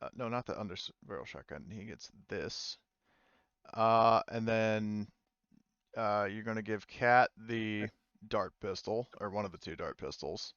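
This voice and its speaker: Low-voiced man running a tabletop game. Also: fairly bright tone, clear, resonant tone, dry recording, highs cut off. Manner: halting, thinking aloud. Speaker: game master. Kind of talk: casual improvised tabletop game narration.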